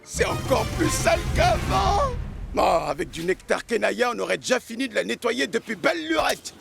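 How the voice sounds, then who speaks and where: A man voicing a fussy, grumbling neat freak in a children's cartoon, theatrical and whining, with a creaky voice. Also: extremely high pitch, sing-song, normal speed, over music, heavily acted. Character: fussy, grumbling male cleanliness-obsessed cartoon character.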